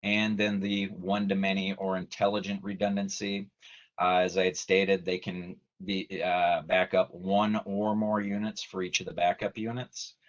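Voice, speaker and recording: A man giving a measured, methodical technical explanation as a trainer in an online webinar. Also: low-pitched, level tone. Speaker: technical trainer, company presenter. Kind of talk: explanatory webinar presentation, semi-scripted. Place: online video meeting. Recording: dull lo-fi video call audio.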